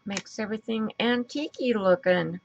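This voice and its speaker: A woman with a raspy voice and a stuffy nose, chatting casually as she works through a crafting tutorial and thinks aloud. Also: mid-range voice, normal speed, highs cut off, a little hiss or hum. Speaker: craft video host.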